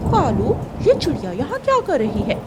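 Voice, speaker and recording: A woman's voice: sits high, huge pitch swings, normal speed, very noisy. A woman voicing a haughty, ill-tempered crow wife in a children's cartoon, bossy and snappish. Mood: haughty, angry. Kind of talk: Hindi children's cartoon story acting.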